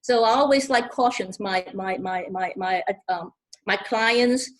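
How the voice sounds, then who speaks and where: A middle-aged woman from Hong Kong with a Hong Kong accent, speaking on a webinar panel, halting with many fillers and repeated words. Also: mid-range voice.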